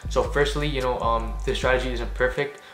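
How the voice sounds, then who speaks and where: Fast-talking young man in an echoey room. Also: medium pitch, fairly even intonation, over music. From Canada. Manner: quick and chatty, many fillers like "you know". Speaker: sneaker vlogger. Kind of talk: casual how-to talk to camera. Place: small home room with a desk.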